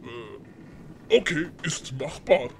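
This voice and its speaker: Woman doing a huge, slow menacing pirate thug. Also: deep voice, voice pushed down. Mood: menacing, dim. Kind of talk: audio drama character voice.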